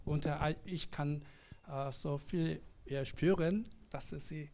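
A man leading a church service as pastor in a language that is not his first. His voice is fairly high and soft-edged. He speaks very slowly and haltingly, breaking sentences as he searches for words. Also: steady tone, creaky, a little hiss or hum. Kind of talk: church service address and prayer.

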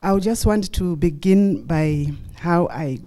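A woman's voice: fairly low pitch, lively intonation, a little slow, dark tone. A middle-aged woman from Zimbabwe with a Zimbabwean accent, giving a personal testimony at church in short stretches for an interpreter.

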